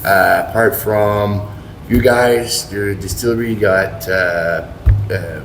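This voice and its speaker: A man's voice: fairly low pitch, slow pace. A man chatting casually as a co-host in an interview. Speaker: show co-host. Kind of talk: casual chat. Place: indoors at a distillery. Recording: faint room noise, air conditioning.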